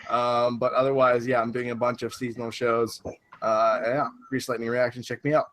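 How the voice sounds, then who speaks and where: A man's voice: medium pitch, flat delivery, moderate pace, fairly bright tone. A man who runs a reaction channel, speaking as a podcast guest with enthusiasm. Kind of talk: casual podcast chat.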